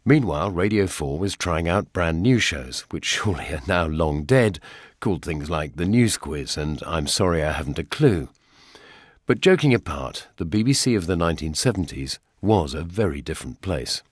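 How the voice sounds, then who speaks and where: Deep-voiced British man, wry radio narrator. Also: animated, moderate pace, no room sound. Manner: wry, dry humour. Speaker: documentary presenter. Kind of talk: scripted radio documentary narration.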